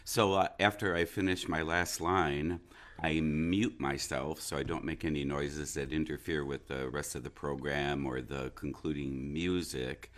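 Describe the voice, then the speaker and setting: A middle-aged American man, an actor being interviewed, talking casually in a halting, jovial way. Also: a bass voice, fairly even intonation, fairly bright tone. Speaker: stage actor, interview guest.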